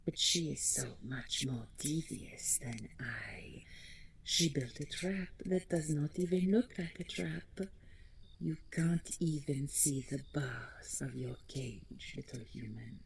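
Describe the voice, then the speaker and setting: A woman playing the vain, taunting ghost of a deposed dragon queen in an audio roleplay, speaking theatrically and mockingly with a breathy voice that slips into whispers. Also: animated, slow, slight background noise, exaggerated voice. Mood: taunting, menacing. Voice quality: low, breathy, husky, creaky. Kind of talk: dramatic audio roleplay monologue.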